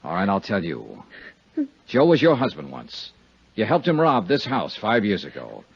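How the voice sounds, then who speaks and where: A husky American private investigator from a 1950s radio serial, tough and unflappable. His delivery is clipped and matter-of-fact but swings widely in pitch, on a muffled old recording with music behind. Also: wildly swooping pitch, moderate pace, exaggerated voice. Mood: cold, accusing. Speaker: lead actor and narrator. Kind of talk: 1950s radio detective drama acting.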